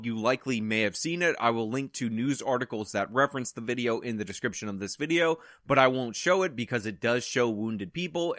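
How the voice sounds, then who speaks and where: A man's voice: medium pitch, a bit bright, dry recording, studio quality. A man delivering opinionated news commentary in long, wordy, emphatic sentences. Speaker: political commentary YouTuber.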